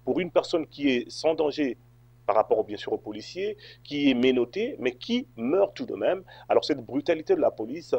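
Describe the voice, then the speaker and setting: A man's voice: medium pitch, sing-song, moderate pace, creaky, darkish tone, slightly rough, no room sound, lo-fi sound. A man from Congo answering interview questions, hesitant, with many fillers and restarts. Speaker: interview guest.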